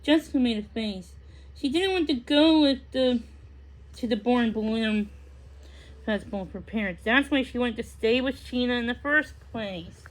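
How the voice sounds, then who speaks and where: A woman narrating a children's book aloud, haltingly, stumbling over and repeating words. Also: a little high, rough recording. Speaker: story narrator.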